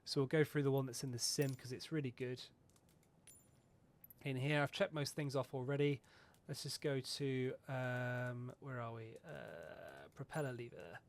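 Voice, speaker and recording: A man's voice: medium pitch, fairly even intonation, unhurried. A man hosting a flight simulator video, chatting casually and rambling through a pre-flight checklist, swearing now and then and poking fun at himself. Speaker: flight sim video host. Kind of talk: casual talk over a flight sim checklist.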